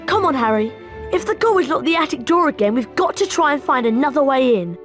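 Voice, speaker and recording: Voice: high-pitched, lively intonation, a little fast, a bit bright, faint music behind. An actor playing an eager, friendly young wizard boy with a British accent in a video game, chummy and informal. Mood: urgent, friendly. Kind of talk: video game character acting.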